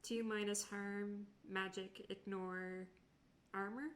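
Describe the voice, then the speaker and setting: A woman's voice: mid-range voice, level tone, takes it slowly, slight background noise. A woman reading out her character's options as a player in a tabletop game. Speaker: tabletop role-playing player.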